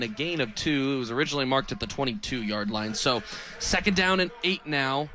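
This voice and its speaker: A young American man calling live football play-by-play on radio, speaking in crisp, clipped phrases. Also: high-pitched. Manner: crisp, clipped phrases that build to excited calls on big plays. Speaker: play-by-play radio sports announcer.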